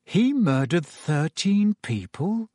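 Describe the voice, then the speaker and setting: A man's voice: high-pitched, big pitch swings, slow pace, darkish tone, no room sound, clean studio sound, pitched above the performer's own voice, exaggerated voice. A man playing an earnest, curious young boy in an audiobook, hesitant and questioning. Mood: shocked, curious.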